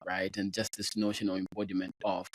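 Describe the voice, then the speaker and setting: A man's voice: sits low, monotone, brisk. A man from Zimbabwe hosting a podcast interview, talking conversationally with lots of affirming backchannels and filler words. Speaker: podcast host.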